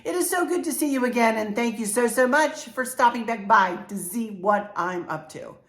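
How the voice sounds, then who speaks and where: A woman's voice: fairly high pitch, normal speed. An older woman, chatting bubbly and congested as a home decor vlogger talking straight to her viewers. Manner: bubbly, talks straight to viewers, warm fillers. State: congested, clearing throat. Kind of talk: casual chatty vlog. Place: home interior room. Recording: echoey room.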